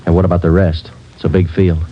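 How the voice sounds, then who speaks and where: A man's voice: low voice, little pitch movement, fast, soft background music, natural voice. An actor playing a terse male police detective sergeant who narrates in a clipped, matter-of-fact way, in a 1950s radio drama. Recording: dull old radio transcription with faint hiss.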